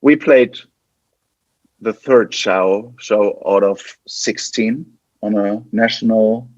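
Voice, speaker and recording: A man's voice: fairly low pitch, takes it slowly, darkish tone, no room sound, lo-fi sound. A man from West Germany with a German accent, chatting casually as an interview guest and often repeating and restarting his words. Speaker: podcast guest, band guitarist.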